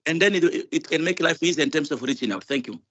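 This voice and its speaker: A man's voice: on the high side, expressive, fast, a bit bright, muffled recording. A man working as a government diaspora director, speaking earnestly with hesitations and repeated points during an online session. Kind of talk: remarks on a video call.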